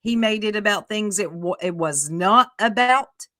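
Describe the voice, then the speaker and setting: A woman's voice: mid-range voice, measured pace, bright, forceful tone. A woman co-hosting a livestream, blunt and sarcastic as she comments and reads chat aloud. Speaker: livestream co-host.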